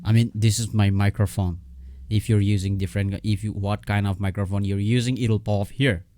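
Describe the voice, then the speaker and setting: A man giving a casual, unscripted software tutorial in a halting, repetitive way, often checking in with his listener. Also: fairly low pitch, steady tone, dry recording, studio quality.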